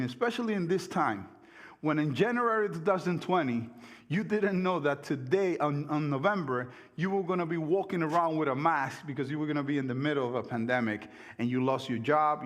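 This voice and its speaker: A man in his thirties, a native Spanish speaker, preaching an earnest sermon who repeats phrases and acts out the doubting voices of his listeners. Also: mid-range voice. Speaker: pastor.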